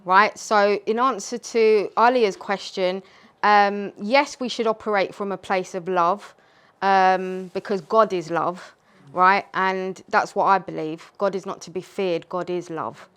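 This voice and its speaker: A woman's voice: mid-range voice, steady tone, unhurried, fairly bright tone, clear, resonant tone. A woman poet whose first language is Urdu, talking earnestly and reflectively in a group discussion. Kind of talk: casual discussion. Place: community space.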